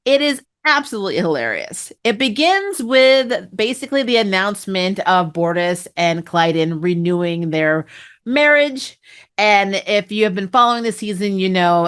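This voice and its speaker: A woman's voice: on the low side, lively intonation, moderate pace, fairly bright tone, clear, resonant tone. Chatty woman reviewing a TV episode. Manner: chatty and amused, laughs often, many fillers. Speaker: TV review host. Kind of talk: casual spoiler review.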